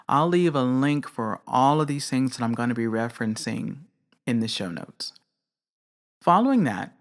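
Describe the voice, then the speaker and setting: A woman hosts a craft podcast and talks through her views by herself. She is measured and candid and says plainly what she thinks. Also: very deep voice. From not British. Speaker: podcast host. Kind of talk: reflective solo commentary.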